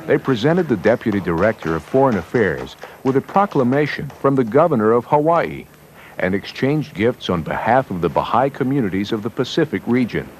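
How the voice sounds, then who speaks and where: A man's voice: mid-range voice, big pitch swings, a little fast, a little hiss or hum. A man reading scripted documentary narration as a newsreel narrator. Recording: music bed under narration. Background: background music.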